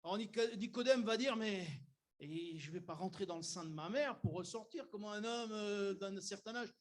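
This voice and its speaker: Older male pastor, high voice, conversational sermon delivery, bursts of emphasis. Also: normal speed. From Algeria. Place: church.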